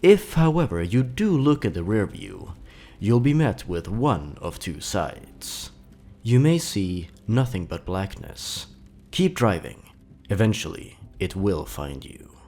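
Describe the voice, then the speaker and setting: Guy with a deep-ish voice doing dramatic creepy story narration, pitch moves around a lot. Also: huge pitch swings, takes it slowly, warm tone. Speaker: host and narrator of a horror story countdown. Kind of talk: scripted horror storytelling narration.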